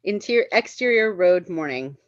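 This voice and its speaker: A woman's voice: lowish voice, lively intonation, moderate pace, no room sound, dull audio. A woman reading stage directions at a live script table read, informal and lightly amused.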